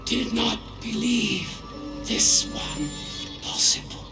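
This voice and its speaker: An actor playing a solemn, foreboding alien mystic in a video game, with halting broken speech and a gravelly, breathy voice. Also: male voice, very high voice, expressive, heavily acted. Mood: grave, urgent. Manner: halting broken speech, dropped words, long pauses. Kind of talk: dramatic game cutscene acting. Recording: roomy sound under loud cinematic music.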